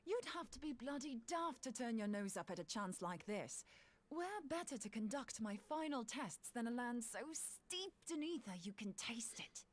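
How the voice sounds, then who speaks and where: An actress voices a bold, breezy woman scholar in a fantasy game. She speaks fairly high with very animated intonation, blunt and playfully teasing in manner. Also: normal speed, natural voice. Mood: breezy enthusiasm. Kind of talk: fantasy video game acting.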